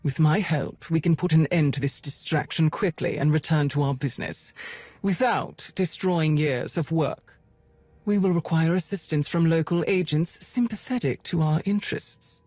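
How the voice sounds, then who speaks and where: Cold Imperial spymaster, close and dry. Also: female voice, low voice, no room sound, soft background music. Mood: firm, measured. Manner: formal, measured, quietly threatening. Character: cold, commanding Imperial spymaster, composed and menacing. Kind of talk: video game voice acting.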